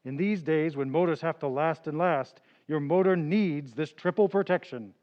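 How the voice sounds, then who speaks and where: An actor playing a smooth male radio announcer reading a period commercial. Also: moderate pace, muffled recording, close to an everyday voice. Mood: persuasive. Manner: polished sales-pitch delivery.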